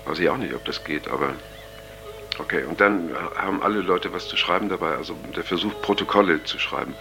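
Halting low-voiced young man. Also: over music. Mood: casual, doubtful. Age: young adult. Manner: halting, hesitant, casual, many pauses. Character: earnest, uneasy young counterculture man taping a diary. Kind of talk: radio drama acting, improvised-sounding monologue.

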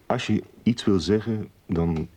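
An actor in a radio drama playing a gentle, reassuring man who leads a support group. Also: fairly low pitch, unhurried, natural voice. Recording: dull, band-limited sound.

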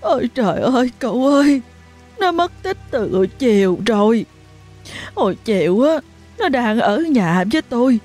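A woman's voice: on the high side, sing-song, unhurried, a little mellow, faint music behind, voice pushed up. A woman voicing a frantic older village mother who is crying, wailing and pleading. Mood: sobbing, frantic.

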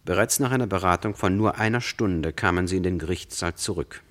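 A deep-voiced male narrator tells a true crime radio play. His delivery is sober and measured, explaining things directly to the listener. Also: a bit bright.

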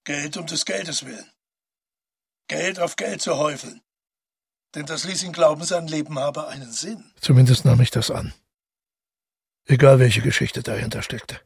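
An actor playing a haunted, brooding man who narrates a confession in a radio drama, measured and with deliberate pauses. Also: on the high side, darkish tone, no room sound, heavily acted. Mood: grimly resolved. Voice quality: gravelly, husky. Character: tall, haunted man calmly confessing to a killing. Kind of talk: literary radio drama monologue.

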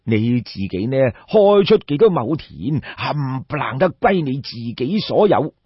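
A man's voice: medium pitch, very animated, breathy edge, no echo. A man voicing a sighing old peasant, dull recording. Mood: earnest, hopeful. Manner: sighing, plaintive, drawn-out emphatic phrases. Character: old destitute peasant man, sorrowful and respectful. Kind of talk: Cantonese storytelling audiobook. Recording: dull, muffled lo-fi audio.

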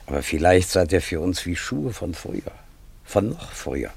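An actor playing a hospitable yet self-justifying village master shoemaker in a radio drama, with a gravelly, husky voice. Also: male voice, deep voice, big pitch swings, normal speed, a little mellow, heavily acted. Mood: uneasy, justifying.

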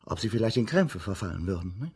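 A husky, probing police inspector. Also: male voice, lowish voice, very animated, quick pace, a little mellow, exaggerated voice. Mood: dry, insistent. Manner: probing, prompting with short questions. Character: probing male police inspector questioning a suspect. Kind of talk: German radio drama acting.

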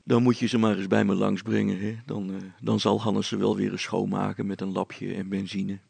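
A man voicing a placid village father in a storybook, deliberate, laconic and good-natured. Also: fairly low pitch, little pitch movement, vocal fry, a little mellow, slightly rough, no room sound, exaggerated voice. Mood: genial. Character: placid, unhurried, wry village father, male. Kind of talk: dramatized storybook reading.

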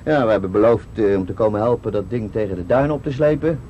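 An actor playing a gruff coast rescue worker who explains things plainly in a 1970s radio play. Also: male voice, fairly low pitch, fast, mellow, lo-fi sound, a little hiss or hum, close to an everyday voice. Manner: plain, matter-of-fact explaining.

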